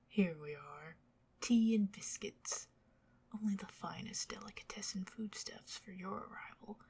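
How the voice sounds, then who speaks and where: A woman playing a bewitching older vampire lady in a whispered ASMR roleplay, doting and fussy, with arch, theatrical, flowery phrasing and a breathy, husky voice. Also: lowish voice, heavily acted. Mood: theatrical, pleased.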